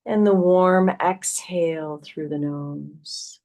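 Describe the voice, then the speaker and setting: A woman yoga teacher leading a guided meditation in a soothing, gently instructive way, leaving long pauses between phrases. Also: lowish voice, gravelly.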